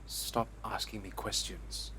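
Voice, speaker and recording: A man's voice: lowish voice, fairly even intonation, slow pace, pushed and bright, breathy edge, heavily acted. A man playing a weary, irritable musician in an audio drama, evasive and withdrawn in manner. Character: weary, irritable male musician, leaving his country, evasive lover. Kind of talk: audio drama acting, monologue and domestic dialogue. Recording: music under the voice.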